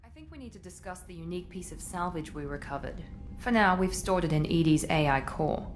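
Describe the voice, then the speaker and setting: Woman playing a businesslike officer, poised, formal, persuasive, low voice, faint score. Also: animated, brisk, soft background music, lightly acted. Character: cool, businesslike female officer of a secret organization. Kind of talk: video game cutscene acting. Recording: game audio with score.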